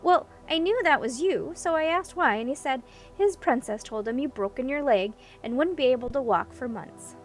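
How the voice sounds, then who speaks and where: A woman's voice: high-pitched, animated, moderate pace, soft background music. A woman voicing a well-meaning young prince in a storybook, earnest, polite and a little hesitant. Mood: worried, earnest.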